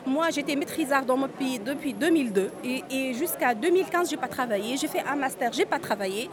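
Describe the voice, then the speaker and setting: High-voiced woman in her forties outdoors. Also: animated, brisk. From Tunisia. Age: 40s. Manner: earnest, repeats words and restarts often. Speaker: undocumented migrant interviewee. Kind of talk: street interview at a march. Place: outdoors at a street march. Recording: crowd noise outdoors. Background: crowd.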